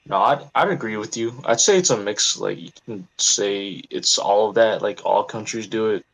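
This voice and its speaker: A man from the United States chatting casually in a group discussion, quick and joking, laughing often. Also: lowish voice, creaky, low-quality recording. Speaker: panel guest.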